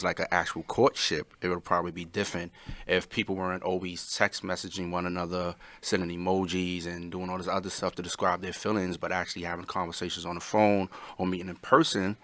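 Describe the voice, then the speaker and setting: A man's voice: deep voice, level tone, a little fast, sharp tone, dry recording. A man making his case at length in a casual group discussion about dating. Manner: earnest, long explanatory sentences. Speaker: panel guest.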